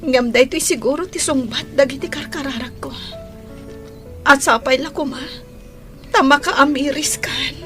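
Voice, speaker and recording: Gentle young mom in ilocano radio drama, hurt but soft-hearted, highish voice, music behind. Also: female voice, normal speed, long pauses. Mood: emotional, wistful.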